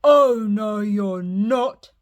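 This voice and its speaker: A woman voicing a hungry, blustering male troll who roars his lines slowly and loudly. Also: medium pitch, lightly acted. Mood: roaring. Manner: roaring, slow and loud.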